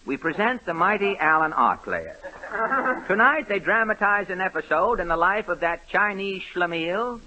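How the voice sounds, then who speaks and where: A man's voice: high-pitched, very animated, normal speed, bright, forceful tone, highs cut off. A man introducing a sketch with wry jokes as a 1930s radio comedy host. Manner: wry, dry, jokey.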